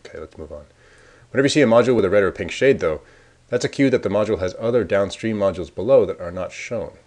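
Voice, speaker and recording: Man, very low voice, casual screencast demo, thinking aloud, clicks and typing behind. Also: fast talker, darkish tone. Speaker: software developer demoing a tool. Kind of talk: screencast walkthrough.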